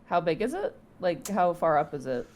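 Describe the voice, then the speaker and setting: A woman with a low voice and very lively pitch plays a tabletop role-playing game. She casually asks questions and declares her moves over some background noise. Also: normal speed.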